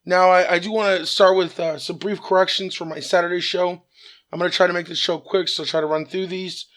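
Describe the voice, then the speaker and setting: A man with a raspy voice hosting his own news commentary show, earnest and measured, with frequent "uh" fillers. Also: sits high, level tone, a bit bright.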